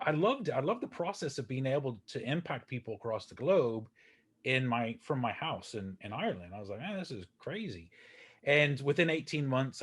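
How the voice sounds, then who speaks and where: A man, a podcast guest and coach talking casually, chatty and self-deprecating with many fillers and restarts. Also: medium pitch. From Texas, USA. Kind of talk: casual interview talk.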